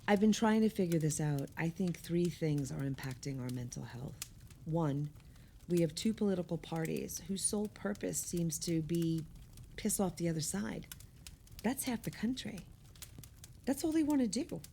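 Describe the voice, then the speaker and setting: A woman's voice: lowish voice, lively intonation, normal speed, gravelly, dark tone, a little hiss or hum. An actress playing an earnest, exasperated woman with wry opinions, speaking emphatically in conversational radio play dialogue.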